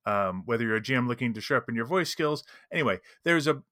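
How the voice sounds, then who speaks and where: Guy podcast co-host just chatting, bright forward voice, really dry close sound. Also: medium pitch, expressive, fast talker, dry recording. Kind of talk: casual chat about tabletop role-playing.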